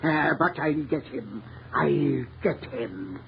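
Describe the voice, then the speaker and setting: An actor playing a determined, obsessive chief of police in an emphatic, theatrical 1930s radio melodrama style. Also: male voice, medium pitch, expressive, slow pace, creaky, lo-fi sound. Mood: vengeful resolve. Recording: scratchy old radio recording, thin and noisy.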